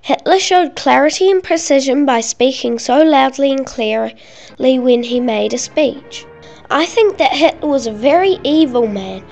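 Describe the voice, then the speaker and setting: A woman's voice: sits high, animated, measured pace, vocal fry, a little mellow, faint music behind. A woman reading scripted narration for a school project, carefully and with some stumbles over words. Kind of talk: scripted narration, read aloud.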